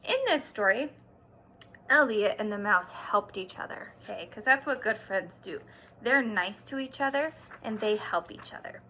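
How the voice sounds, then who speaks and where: A woman teacher doing an expressive read-aloud for young children, stressing words and pausing to explain and ask simple questions. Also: mid-range voice, slight background noise. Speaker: kindergarten teacher.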